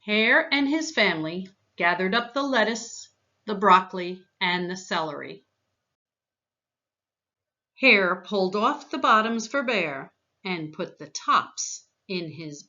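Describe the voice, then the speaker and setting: A woman narrates a children's story with expressive care. Her voice is bright and the pace slow and deliberate. The recording is a little dull. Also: on the low side. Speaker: volunteer gardener reading a children's book aloud.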